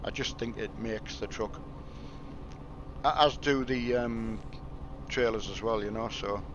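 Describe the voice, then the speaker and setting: Guy on a game stream just rambling along, bit halting, loud truck engine noise behind him. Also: fairly low pitch, fairly even intonation. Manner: rambling, chatty, halting delivery. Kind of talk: casual livestream chat while playing a truck game. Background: truck game engine and road noise.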